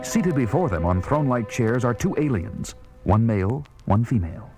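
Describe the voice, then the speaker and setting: A man's voice: mid-range voice, very animated, moderate pace. A man reading scripted narration for an audio drama, telling the story as it happens. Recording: loud music behind narration.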